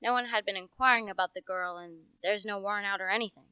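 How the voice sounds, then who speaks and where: A woman's voice: medium pitch, quick pace, bright, forceful tone, smooth, dry recording, dull audio, lightly acted. A young woman playing a folksy, opinionated country police officer in a radio play, with a rustic, knowing, matter-of-fact manner. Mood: confiding. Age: young adult.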